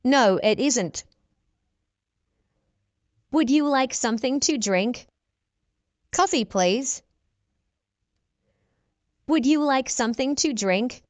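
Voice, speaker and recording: A woman teaching English, reading short practice dialogues slowly and clearly and repeating each exchange for learners. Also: high voice, lively intonation, dry recording.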